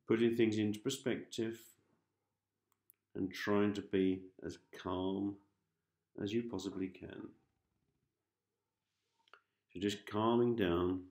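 A man with a low, gravelly voice leads a guided relaxation for sleep. He is calm and gentle, repeating soft instructions with long pauses. The sound is roomy and echoing, with faint music underneath. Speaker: hypnosis host, meditation guide. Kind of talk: guided sleep hypnosis, soothing meditation.

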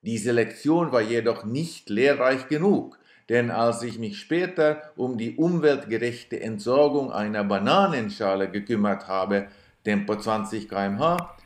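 Guy narrating, dry self-mocking tone, slow pace, roomy reverb. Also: mid-range voice. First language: Swedish. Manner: dry, self-ironic storytelling. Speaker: cyclist narrating his trip video. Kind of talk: scripted voice-over narration.

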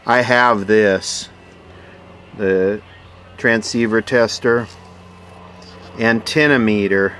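A man giving casual, hands-on unboxing commentary, musing aloud in short phrases with pauses between them. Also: fairly low pitch. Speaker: vlogger unboxing vintage radio gear. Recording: steady background noise.

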